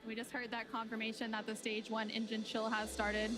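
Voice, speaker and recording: A woman giving measured, informative live commentary as a broadcast presenter, explaining each step as it happens. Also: on the high side, monotone. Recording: music playing behind voice. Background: music.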